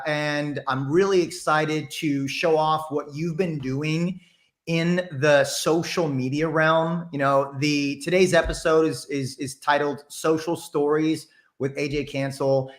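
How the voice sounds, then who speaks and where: An American man with a slightly high voice hosts a podcast. He speaks in a casual, conversational interview style, as someone from the East Coast. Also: fairly bright tone. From East Coast of the United States. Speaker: podcast host.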